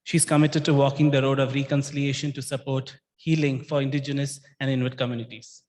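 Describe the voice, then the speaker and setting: A man, hosting an event, reading announcements and an introduction in a measured, halting way with fillers and restarts. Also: mid-range voice, little pitch movement, a little mellow. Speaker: event host. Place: large event hall. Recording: reverberant event hall.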